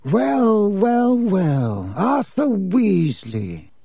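A man performs a haughty, malicious wizard aristocrat for a storybook. He is pitched high and draws his words out with cold, sneering condescension. The sound is dry. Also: expressive, slow, warm tone, no echo, full character voice. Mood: sneering contempt.

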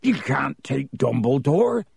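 A man voicing a big, rough, loyal and hot-tempered fellow in an audiobook, with gruff, growling, rustic speech. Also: mid-range voice, big pitch swings, slow, darkish tone, no room sound, clean studio sound, exaggerated voice. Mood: angry, roaring.

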